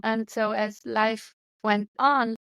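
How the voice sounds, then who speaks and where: A woman with a Swiss accent speaks in a somewhat high voice, earnest and searching, often restarting her sentences. She is a guest in a casual interview. The sound is dry and close. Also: fairly even intonation, slow pace, vocal fry, fairly bright tone, clear, resonant tone, dry recording. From Switzerland. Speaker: guest, medium and coach.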